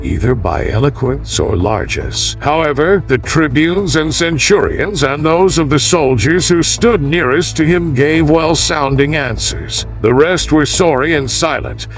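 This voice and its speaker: A man reading a classical history as a scripted audiobook, in a measured, formal, oratorical manner. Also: on the high side, lively intonation, faint music behind, rough recording. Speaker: audiobook narrator.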